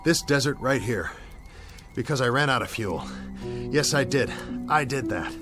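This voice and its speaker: An actor with an American accent playing a dazed, guilt-ridden young bomber captain in a radio drama, talking to himself in broken, searching phrases. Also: male voice, mid-range voice, lively intonation, unhurried, over music, natural voice. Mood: straining to focus.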